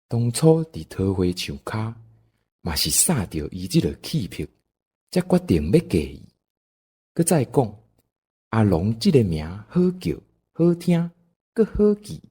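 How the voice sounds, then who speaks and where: A man's voice: lowish voice, big pitch swings, a little slow, long pauses, mellow, husky. A man with a Taiwanese accent narrating a scripted radio drama as a storyteller.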